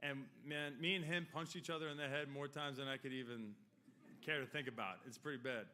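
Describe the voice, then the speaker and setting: Bright-voiced man, rambling speech. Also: mid-range voice, steady tone, brisk, a little hiss or hum. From Long Island, New York. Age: 40s. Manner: rambling, joking, heartfelt. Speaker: hall of fame inductee. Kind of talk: acceptance speech.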